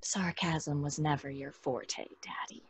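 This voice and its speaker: An actress playing a wary, sardonic young twin sister in an audio horror drama, uneasy and curt. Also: female voice, sits low, fairly even intonation, unhurried, husky, no room sound, full character voice. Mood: irritated.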